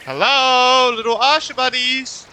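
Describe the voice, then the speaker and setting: A man's voice: very high-pitched, fairly even intonation, unhurried, pushed and bright, slight background noise. A young man casually commenting while playing a game and reading its dialogue aloud, his voice tired and strained. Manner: chatty, reads game dialogue aloud, says bro a lot. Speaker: gaming streamer. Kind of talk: casual let's-play commentary. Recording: game music underneath.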